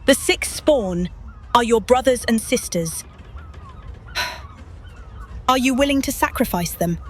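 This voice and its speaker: Adventurer heroine, fantasy game: earnest, animated, slightly high voice. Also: female voice, slow, background noise. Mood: concerned. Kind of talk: video game fan-film character acting.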